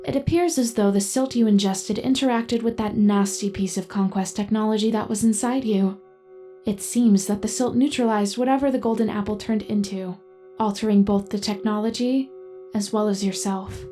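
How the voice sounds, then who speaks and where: A woman playing a composed, analytical AI companion who narrates an audio drama in formal, precise, measured speech. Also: mid-range voice, steady tone, dark tone, soft background music, natural voice. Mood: calm, analytical. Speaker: narrator and in-character host.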